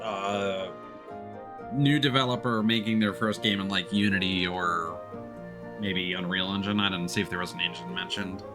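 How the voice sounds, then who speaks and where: A man's voice: low voice, fairly even intonation, normal speed. A man giving casual, rambling video game commentary as a live streamer, hesitant and stumbling over his words. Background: game music.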